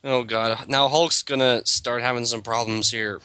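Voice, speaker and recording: A man's voice: mid-range voice, fairly even intonation, normal speed, pushed and bright, clean tone, no echo. A man reading a text aloud as lead reader of an amateur dramatic reading, breaking into sarcastic, incredulous casual commentary.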